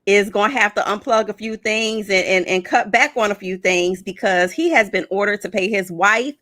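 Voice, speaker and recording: A woman's voice: medium pitch, moderate pace, fairly bright tone. A woman co-hosting a radio show, telling gossip stories in a sassy, teasing way. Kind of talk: casual gossip banter.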